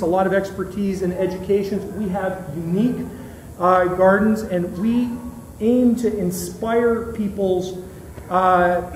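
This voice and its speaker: Guy giving an informal talk, kinda hesitant phrasing, echoey room, mic far off. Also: high voice. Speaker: guest speaker, marketing director of botanical gardens. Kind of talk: informal public presentation. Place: indoor event venue at botanical gardens. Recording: distant mic in echoey room, background noise.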